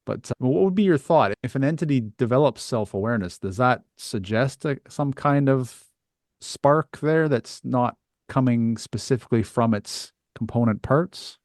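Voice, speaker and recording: A man's voice: medium pitch, expressive, moderate pace, a little mellow, no room sound, studio quality. A man hosting an interview in conversation, speaking thoughtfully and tentatively as he works through his phrasing. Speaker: podcast host.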